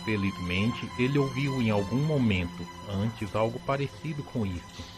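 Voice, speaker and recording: A man with a fairly low voice narrates a story for an audio drama. He reads as a scripted storyteller, with music playing behind him. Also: expressive, normal speed.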